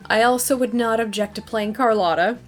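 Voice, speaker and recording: A woman's voice: a little high, a little fast, over music. A young adult woman hosting a casual question-and-answer video, talking in a thoughtful, hesitant, rambling way. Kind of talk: casual fan Q&A talk.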